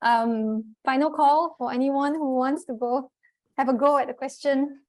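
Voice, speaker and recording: Female meeting host, higher pitch, unhurried pace, close dry sound. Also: fairly even intonation, darkish tone, no echo, low-quality recording. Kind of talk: facilitating an online group meeting.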